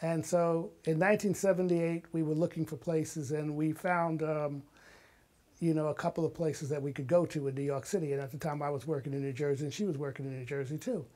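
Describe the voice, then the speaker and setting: An older man chats as an interview guest. His voice sits slightly high for a man. He tells his stories in an animated, enthusiastic way, like casual recollection. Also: a little fast. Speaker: guest, writer and filmmaker.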